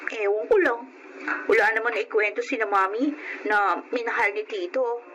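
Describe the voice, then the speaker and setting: A woman's voice: fairly high pitch, fairly even intonation, normal speed, music in the background, close to an everyday voice, low-quality recording. An actress playing a friendly young niece, teasing and reassuring with her friends, in a radio drama.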